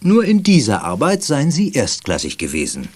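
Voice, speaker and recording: Middle-aged father, soothing yet a bit stern, very animated pitch, radio-play delivery. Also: moderate pace, breathy edge. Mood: mildly reproachful. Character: calm, teasingly stern middle-aged father of two children. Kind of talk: German children's radio play acting.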